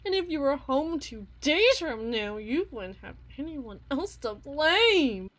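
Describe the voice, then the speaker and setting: A woman playing an obsessive, unhinged yandere wife in an ASMR roleplay monologue, theatrical and halting, with eerie, menacing tenderness and a breathy voice. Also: high-pitched, sing-song, exaggerated voice. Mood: hushed, accusing. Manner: theatrical, halting, drawn-out repetitions, swinging from hushed to intense.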